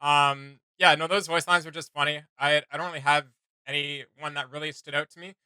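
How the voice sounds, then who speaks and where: Bright, clear-voiced man reacting casually. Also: fairly high pitch, steady tone, a little fast, dry recording. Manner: amused. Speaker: reaction video host.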